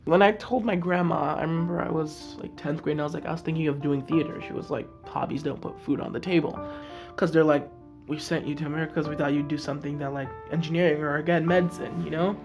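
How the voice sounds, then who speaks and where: A teenage boy from Nigeria talks casually about his life in a documentary interview. His voice sits a little high for a young man, and clear background music runs beneath him. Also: steady tone, fast. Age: teens. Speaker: student. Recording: music underneath.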